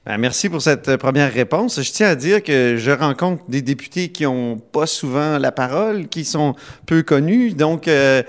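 Québécois man asking informal, halting questions. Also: fairly high pitch, expressive. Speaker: interviewer. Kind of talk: conversational interview.

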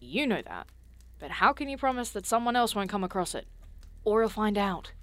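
A woman's voice: mid-range voice, animated, normal speed, a bit bright, dry recording, close to an everyday voice. A woman playing a sarcastic, exasperated and wary young adventurer in an audio drama, with a blunt manner. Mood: anxious, reproachful. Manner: sardonic, blunt.

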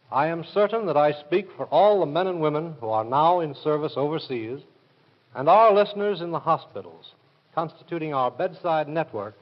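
An American man giving a formal, scripted 1940s radio address as an armed forces officer, speaking in earnest, measured oratory. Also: on the high side. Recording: dull, band-limited 1940s radio recording.

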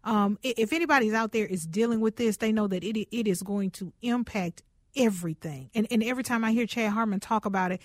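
A woman's voice: medium pitch, brisk, dry recording. A woman radio host, chatty and personal, interviewing a guest in a studio. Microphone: studio microphone.

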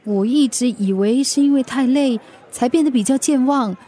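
A woman's voice: high voice, normal speed, warm tone. A woman with a Singaporean accent acting a forgetful, playful delivery rider in a radio drama, cutesy and coaxing. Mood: worried.

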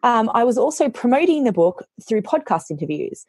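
Hoarse, animated woman telling stories. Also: a little high, fairly quick, no room sound. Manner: animated storytelling, quotes herself. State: hoarse after losing her voice. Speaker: author. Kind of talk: casual podcast chat.

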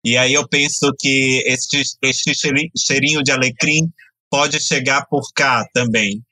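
A Brazilian writer with a Bahian accent, speaking thoughtfully and earnestly as an interview guest. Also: male voice, medium pitch, steady tone, measured pace, sharp tone, no echo, poor audio. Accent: Bahian Brazilian Portuguese. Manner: thoughtful, earnest, with many "né" fillers. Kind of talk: podcast interview.

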